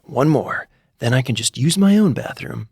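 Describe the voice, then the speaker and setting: A man's voice: mid-range voice, animated, normal speed, a little mellow, breathy edge, no room sound, studio quality. A man voicing an anxious, awkward, smitten fellow from a comic story, with nervous, flustered delivery over music. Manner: nervous, flustered self-talk. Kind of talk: storybook comedy character acting. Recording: music playing behind the voice.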